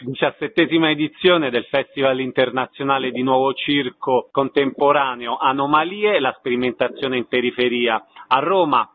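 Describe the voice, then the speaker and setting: A man's voice: medium pitch, a little hiss or hum. Male radio host, phone-like sound. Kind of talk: radio interview presenting. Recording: thin phone-like radio audio.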